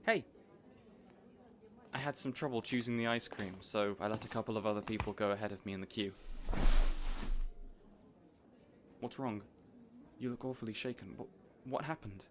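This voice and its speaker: A man playing a boyish, caring supervillain boss in an audio roleplay, earnest and rambling, hesitant yet excitable. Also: fairly low pitch, a little fast, a little hiss or hum, natural voice. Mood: concerned, gentle.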